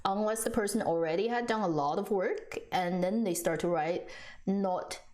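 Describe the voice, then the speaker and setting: A woman's voice: medium pitch, moderate pace, darkish tone. A woman whose first language is Chinese, chatting casually on a live stream and answering viewers. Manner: chatty, frequent restarts, laughs often.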